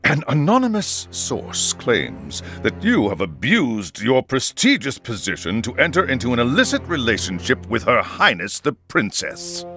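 An actor playing a stern older academy headmaster in a video game, speaking formally and with measured theatrical weight. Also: male voice, medium pitch, huge pitch swings, bright, forceful tone, full character voice. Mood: stern, grave. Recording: orchestral game music behind the voice. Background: background music.

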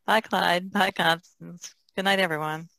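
A woman's voice: on the low side, fairly even intonation, measured pace, gravelly, bright, forceful tone, no echo. A woman talking casually as a participant in a podcast discussion. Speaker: podcast co-host.

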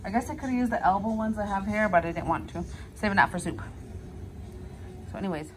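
A woman in her thirties talks her way through cooking in a home kitchen, recorded close on a phone. Her voice sits at an ordinary middle pitch, and she fills her chatter with fillers and small apologies. A faint household hum runs under her voice. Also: a bit bright, low-quality recording. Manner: chatty, self-narrating, filler-heavy, apologetic. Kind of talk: casual cook-along chat.

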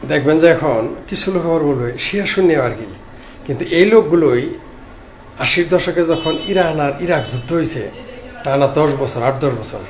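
A middle-aged man with a Bangladeshi accent gives informal political commentary to camera, speaking haltingly in short broken phrases. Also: fairly high pitch. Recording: clearly noisy background ambience.

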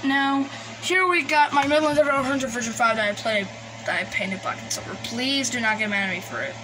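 A woman's voice: fairly high pitch, a little fast, over music. A woman giving a casual, hesitant, rambling show-and-tell in an informal way, recorded on a handheld phone. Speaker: collector presenting gadgets. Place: small indoor room at a desk. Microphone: handheld phone camera. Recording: noisy handheld recording, background hiss. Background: noise and radio devices.

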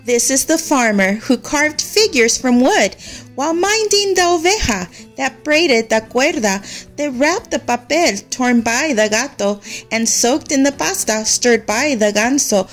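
A woman narrating a children's story in an expressive, measured way, as a read-aloud storyteller. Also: sits high, faint music behind.

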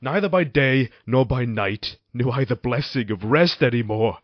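Gothic audio drama: tormented, volatile married man, played by a male actor, measured and theatrical, dry and slightly muffled sound. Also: medium pitch, animated, no echo, natural voice. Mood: brooding anguish. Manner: brooding, dramatic, halting archaic diction. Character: tormented, violent-tempered married man, Gothic horror narrator.

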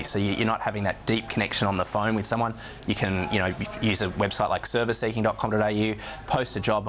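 Low male voice, Australian accent, thirties, repetitive conversational interview delivery, noisy studio recording. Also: a bit bright. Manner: hesitant, repeats words, frequent "you know". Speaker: studio guest, online business representative. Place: television news studio, indoors.

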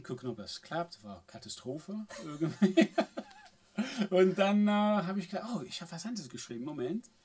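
A man's voice: medium pitch, a little mellow. A man in his forties from England with an English accent, chatting as a guest musician in a small home studio and searching for words in a second language. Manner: halting, searching for German words. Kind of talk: casual chat.

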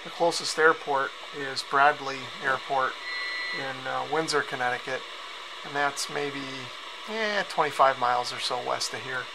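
A man giving a casual, low-key hands-on radio demonstration, musing aloud as he goes. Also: measured pace, gravelly. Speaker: radio reviewer. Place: concrete-walled cellar. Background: shortwave radio noise.

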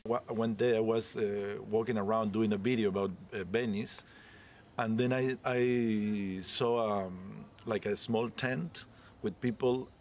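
A man from Peru, in his forties, gives a rambling, friendly street interview. His voice sits a little low, and there is faint outdoor ambience behind him. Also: fairly even intonation, unhurried, a little mellow, a little hiss or hum. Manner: chatty, rambling, non-native English. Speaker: interviewee, cruise ship photographer. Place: leafy garden courtyard outdoors.

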